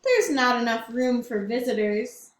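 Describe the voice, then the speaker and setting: Storybook bear, male, greedy and eager for stuff, put on by a woman reading aloud; high, drawn-out lines in a roomy room. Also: lively intonation. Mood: apologetic. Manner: eager, slow and emphatic. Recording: roomy echo.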